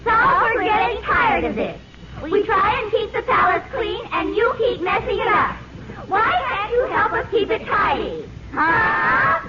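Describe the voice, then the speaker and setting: An actress playing an exasperated, nagging wife in a comic historical sketch, scolding emphatically in 1940s radio comedy style. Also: female voice, very high voice, expressive, a little slow, pushed and bright, heavily acted. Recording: muffled, very noisy old radio recording.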